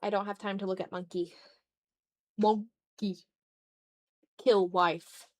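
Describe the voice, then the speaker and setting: A woman's voice: medium pitch, little pitch movement, slow. Casual, playful woman art streamer. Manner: playful, dramatic groaning, swears casually. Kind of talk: casual live-stream chat while drawing.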